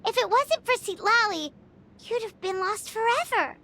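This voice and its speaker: A woman's voice: extremely high pitch, animated, moderate pace, bright, forceful tone, a little hiss or hum, full character voice. A woman voicing a tiny, childlike fairy companion in a video game, chirpy and blunt. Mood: frank, concerned.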